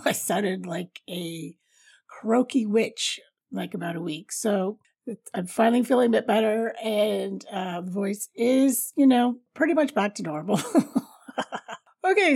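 A middle-aged woman with a raspy voice hosting a travel podcast in an informal, upbeat, jokey, chatty way. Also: mid-range voice, a little mellow. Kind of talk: chatty solo podcast storytelling.

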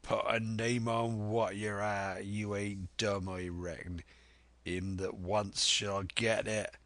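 Old pirate sea cook, slow drawl, low pitch, menacing, commanding, storybook villain. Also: male voice, flat delivery, a bit bright, dry recording. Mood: scornful challenge. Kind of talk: dramatic audiobook character voice.

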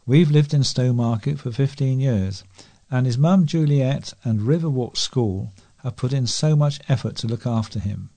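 A man's voice: mid-range voice, normal speed, warm tone. A man reading scripted local news as a volunteer newsreader.

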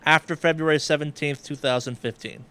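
Clear, higher-voiced man hosting a podcast. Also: fairly even intonation, fairly quick, pushed and bright. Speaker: podcast host. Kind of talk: casual gaming podcast chat.

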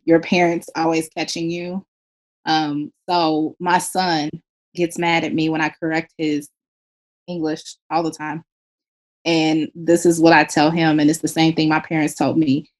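A woman with a very low voice speaks plainly and firmly. She is a parent talking casually on a podcast. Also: a little mellow. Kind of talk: casual chat.

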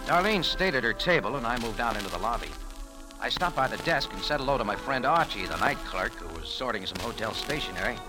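Man as tough, wry American adventurer, fast clipped delivery, 1940s radio noir with loud music behind. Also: mid-range voice, expressive, lightly acted, poor audio. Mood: hard-boiled narrating. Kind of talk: 1940s hard-boiled radio drama narration and acting.

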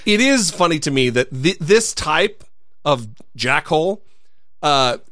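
A man with a lively, up-and-down voice rants with sarcastic exasperation. His tone is bright and forward. He swears freely into a close, dry studio mic. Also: on the high side, a little slow, no echo. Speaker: podcast co-host. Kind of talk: casual podcast chat.

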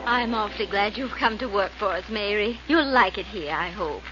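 An actress playing a kindly, homely older farm wife in 1940s radio style. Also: female voice, medium pitch, normal speed, over loud music, natural voice. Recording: muffled, very noisy old radio recording.

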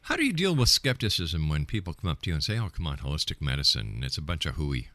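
A man's voice: a bass voice, huge pitch swings, fast talker, pushed and bright, dry recording. A man hosting a radio interview, putting probing, challenging questions to a guest in a conversational way.

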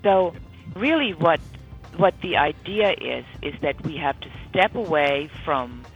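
A woman's voice: deep voice, expressive, a little slow, faint music behind. Lady guest on talk radio explaining stuff conversationally, muffled band-limited audio, trainer type. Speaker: interview guest, author and corporate trainer. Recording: dull, band-limited sound.

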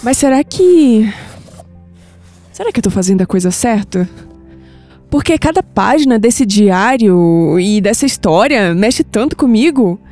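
An actress playing an anguished young Brazilian granddaughter in an audio drama, emotional and hesitant, with sighs. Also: female voice, mid-range voice, animated, measured pace, warm tone, close to an everyday voice. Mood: anguished, confused. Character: anguished, skeptical young granddaughter unsettled by past-life visions. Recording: music bed under the voice.

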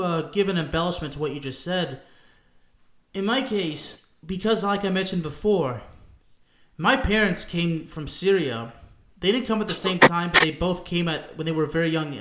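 A man's voice: on the high side, a bit bright, roomy sound. A man from France co-hosting a sports talk show, emphatic and repetitive, calling listeners "folks". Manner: emphatic, repeats himself, addresses listeners as folks. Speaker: podcast co-host.

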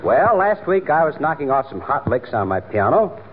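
A dry, slangy townsman airing gripes in a deadpan way. The voice is fairly high, on a noisy, narrow old radio comedy recording. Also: normal speed. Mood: deadpan complaint. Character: dry, complaining male piano-playing townsman. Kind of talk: 1940s radio comedy acting.

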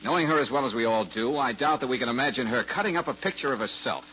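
An actor playing a wry, joking detective in an old radio drama, breezy with stammering fillers. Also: male voice, mid-range voice, lively intonation, quick pace, pushed and bright, a little hiss or hum. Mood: coy. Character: wry, joking male detective, quick-witted. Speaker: amateur sleuth. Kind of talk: 1940s radio acting. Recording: dull lo-fi old radio recording.

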